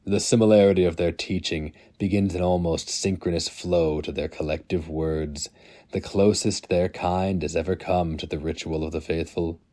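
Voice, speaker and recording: A man narrating a dark story as a dramatic reading, with slow, somber, deliberate delivery. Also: sits low, steady tone.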